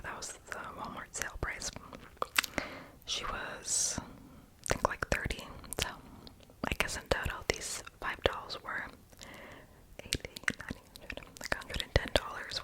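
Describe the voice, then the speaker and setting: A young adult speaking entirely in a breathy, unvoiced whisper, rambling casually and self-deprecatingly in an ASMR voiceover, with soft mouth sounds. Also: rough recording. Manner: mouth sounds, lip smacking. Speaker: ASMR video creator.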